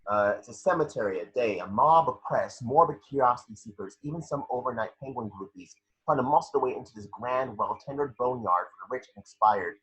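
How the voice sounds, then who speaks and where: A man with a husky voice reading scripted scene narration at an online table read. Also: mid-range voice, animated, fairly quick, hardly any pauses, fairly bright tone, low-quality recording. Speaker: scene description reader.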